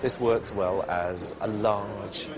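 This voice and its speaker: A man's voice: on the low side, fairly even intonation, slow, over music. A man explaining a film set in an interview.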